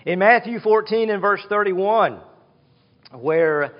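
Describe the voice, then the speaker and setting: A man preaching a sermon, teaching slowly and deliberately, and repeating key words for emphasis. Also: high-pitched, lively intonation. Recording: dull hall reverb.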